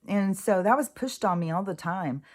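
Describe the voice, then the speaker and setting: A woman's voice: mid-range voice, moderate pace. A woman in her sixties talking casually and candidly in a rambling spiritual monologue, swearing freely. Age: 60s. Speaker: host.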